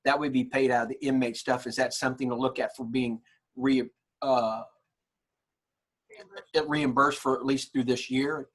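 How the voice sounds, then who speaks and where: A man speaking informally as a county official on a conference call, halting and often repeating his words. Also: medium pitch, flat delivery. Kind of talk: informal meeting question.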